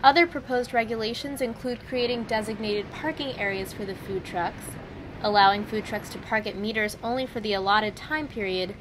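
A young woman student reporter giving a scripted news report in an even, measured way. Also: mid-range voice, background noise. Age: young adult. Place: outdoors in a city park with traffic.

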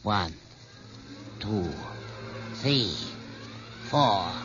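A man's voice: fairly low pitch, animated, very slow. An actor playing a scheming, self-righteous male scientist informer in an old-time radio drama, on a muffled, noisy vintage broadcast. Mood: tense, urgent.

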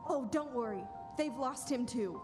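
A woman playing a brisk, commanding angel supervisor in a radio play. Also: sits high, natural voice. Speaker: student actor. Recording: echoey hall, music behind.

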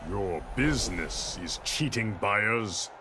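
An actor playing a stern, merciless enforcer in a video game, formal and commanding, over music. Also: male voice, on the low side, expressive, slow pace, background noise. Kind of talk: video game character dialogue.

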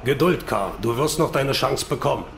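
A man playing a bitter, gloating villain in a radio drama, deliberate and taunting. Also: mid-range voice, lively intonation, creaky, noisy background. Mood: smug. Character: bitter, gloating villain, vengeful disowned son.

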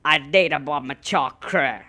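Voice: medium pitch, moderate pace, bright, forceful tone, lightly acted. An actor voicing a skeptical, bossy little sister in a cartoon, with a blunt, demanding manner. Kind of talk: cartoon voice acting.

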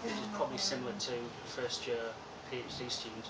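A soft-spoken young man giving an informal lecture, relaxed and chatty with frequent ums. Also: mid-range voice, fast. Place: lecture room with projector, indoors. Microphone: far room camera. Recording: echoey room from far off with loud hiss.

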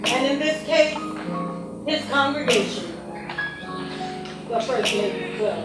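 A solemn female narrator of a stage drama speaks in a high voice with deliberate weight. Her rhetorical lines ring through a very echoey hall, far from the mic, with loud music around her. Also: expressive. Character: solemn female narrator posing moral questions. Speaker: narrator of a gospel stage play.

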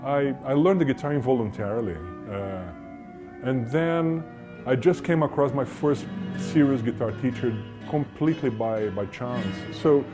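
A man's voice: medium pitch, moderate pace, music in the background. A middle-aged man, a guitarist, talking casually in an interview, self-deprecating and full of fillers. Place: small room, indoors. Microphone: lapel.

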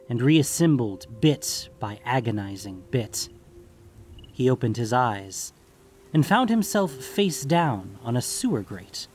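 A man's voice: medium pitch, a little mellow. A man reading scripted fantasy fiction as the narrator of an audio story.

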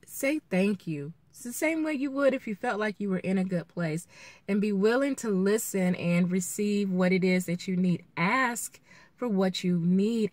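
A woman vlogger chatting casually and reflectively in an encouraging way, with halting pauses as she thinks. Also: medium pitch, no room sound. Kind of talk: casual chat, motivational vlog. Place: inside a parked car, daytime. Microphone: camera or phone at arm's length.

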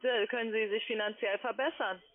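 A woman's voice: on the high side, fairly even intonation, fairly quick. Curt woman on a tinny phone line. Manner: curt, impatient. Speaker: call center sales agent. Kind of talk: phone sales call turning into argument. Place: large open-plan office. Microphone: telephone. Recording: phone line from a call center.

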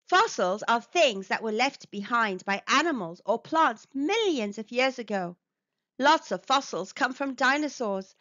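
A woman reading a scripted children's book aloud, with deliberate, emphatic articulation for young listeners. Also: on the high side, bright, forceful tone, dry recording, muffled recording. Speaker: children's storybook reader.

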